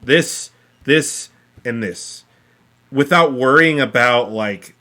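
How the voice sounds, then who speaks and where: A man co-hosting a podcast, explaining casually in a halting way, often rephrasing himself. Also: mid-range voice, pushed and bright. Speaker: podcast co-host reviewing films. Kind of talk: casual chat.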